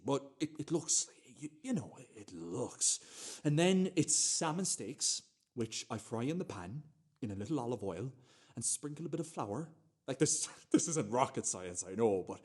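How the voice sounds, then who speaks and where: An actor playing an anxious, self-justifying man in a radio play monologue, talking in a halting, repetitive, conversational way. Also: mid-range voice, husky.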